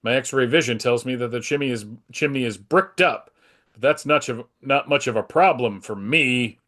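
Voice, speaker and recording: A man's voice: medium pitch, normal speed, fairly bright tone, close to an everyday voice. A man playing an earnest, self-assured superhero in a live dramatic comic book reading. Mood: resolute. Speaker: performer in a dramatic comic book table read.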